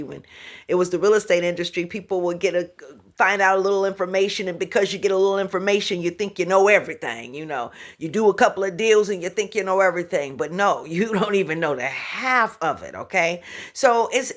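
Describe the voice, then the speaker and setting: A woman giving a casual spiritual talk to her viewers, laughing often, humming and scatting between thoughts, and using pet names for her audience. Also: on the low side. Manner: repeats and restarts words, pet names for the audience. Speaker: spiritual teacher.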